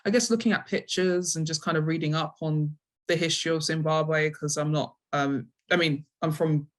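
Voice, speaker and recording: A woman's voice: sits low, fairly even intonation, moderate pace. Hesitant, thoughtful London woman. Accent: London English. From London, England. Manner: hesitant, reflective, self-correcting. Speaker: interviewed guest, comic book writer. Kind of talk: conversational interview answers.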